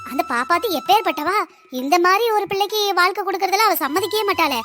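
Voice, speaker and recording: Woman voicing a chatty, high-voiced old village grandmother. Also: animated, fast, sharp tone, soft background music, full character voice. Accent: southern Tamil Nadu. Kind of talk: Tamil comedy cartoon dialogue.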